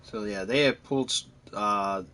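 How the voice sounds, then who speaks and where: A man's voice: on the low side, unhurried, pushed and bright. A man giving casual, chatty gameplay commentary, drifting into rambling asides. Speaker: video game player commentator.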